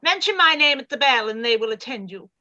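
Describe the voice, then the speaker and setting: Brief: imperious aristocratic dowager, played by a woman, condescending, crisp and forceful, lo-fi mic. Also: on the high side, lively intonation. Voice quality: haughty, condescending, drawn-out. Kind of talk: live-read radio play.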